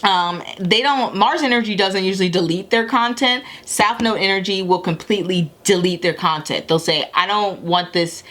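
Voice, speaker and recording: A woman's voice: mid-range voice, moderate pace, few pauses, a bit bright. A woman giving a casual tarot and astrology reading to her viewers, talking in a chatty, rambling way with many self-corrections and frequent "okay?" check-ins.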